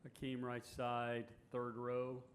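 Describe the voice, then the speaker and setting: A man moderating a press conference, briskly calling on reporters and asking questions. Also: mid-range voice, monotone, slight background noise. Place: media day press room.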